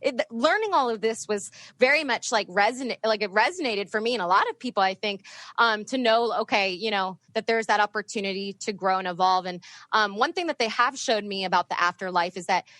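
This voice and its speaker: A woman from the US East Coast, a medium, talking in a chatty, animated way with frequent laughs. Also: medium pitch, brisk, no room sound. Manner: chatty, animated, laughs often, many fillers. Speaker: spirit medium guest. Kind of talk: casual podcast interview.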